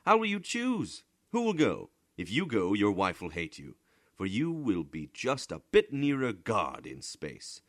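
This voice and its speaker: A man's voice: fairly low pitch, wildly swooping pitch, measured pace, dry recording, voice pushed up. A stern old man as a male storyteller plays him delivers cynical warnings. He is emphatic and scolding, leaning hard on rhetorical questions, in a dry, close storybook reading. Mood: scornful.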